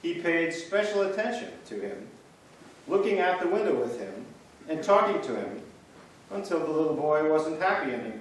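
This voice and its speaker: A man performing reader's theater, reading scripted church history stories in a measured storytelling way, in a church. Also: on the high side, slight background noise. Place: church sanctuary. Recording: echoey church from far off.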